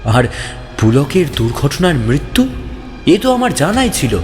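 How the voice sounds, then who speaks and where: A man's voice: fairly high pitch, very animated, unhurried. A man reading a scripted ghost story as a narrator, in a dramatic, suspenseful and theatrical manner. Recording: long reverb, background music. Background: music.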